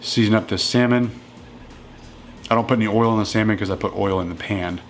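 A man in his thirties casually walking through home meals in his kitchen, relaxed and matter-of-fact. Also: lowish voice, a little fast, slight background noise. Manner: relaxed and matter-of-fact, plain step-by-step talk. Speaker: strength coach cooking at home. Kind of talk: casual cooking walkthrough.

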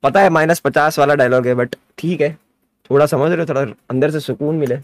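A young man streaming a game, chatty and excitable, talking to his viewers from a desk mic in his gaming room. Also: a little high, a little fast. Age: young adult. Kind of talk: excited live reaction stream.

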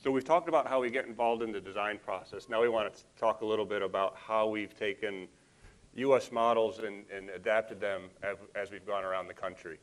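A man's voice: lowish voice, steady tone, brisk, low-quality recording. A man giving a businesslike talk from a podium at a conference panel. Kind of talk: presentation at a podium. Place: large conference hall.

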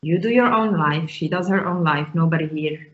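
A woman's voice: low voice, moderate pace. Woman from Morocco on a muffled video call. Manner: thoughtful, with many ums and restarts, gestures with her hands. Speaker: guest. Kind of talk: casual interview talk. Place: small room indoors. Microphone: video call with earphones.

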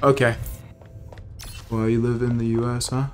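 A man's voice: mid-range voice, level tone, moderate pace, noisy background. A young American man streaming a shooter game, joking, swearing and chatting with viewers between fights. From United States. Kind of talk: casual gameplay commentary talking with chat. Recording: game audio and music behind.

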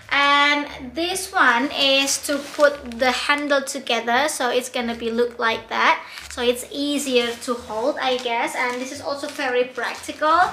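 Young woman, giggly unboxing vlogger, high bright voice, roomy echo with faint background noise. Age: young adult. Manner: bubbly, giggly, gushing. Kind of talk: product unboxing vlog. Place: bright indoor room.

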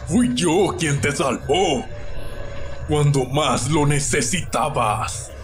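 A menacing god of war for a video game dub, with a Latin American accent. He taunts slowly and grandly. The voice echoes under very loud music. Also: a little high. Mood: menacing, taunting. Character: menacing, vengeful adult male god of war.

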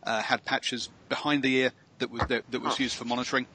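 A man's voice: medium pitch, level tone, fairly quick, sharp tone, husky. A man hosting a casual sports chat on a video call, hesitant with many ums and self-corrections. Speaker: show host. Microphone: headset on a video call.

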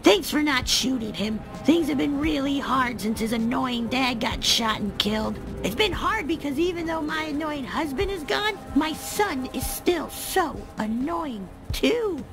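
A fed-up alien mom in a comedy game. Her high voice is blunt and deadpan, full of tired resignation. Music plays clearly behind her. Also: unhurried, few pauses. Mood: weary resignation. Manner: deadpan, resigned, passive-aggressive. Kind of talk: comedic video game acting.

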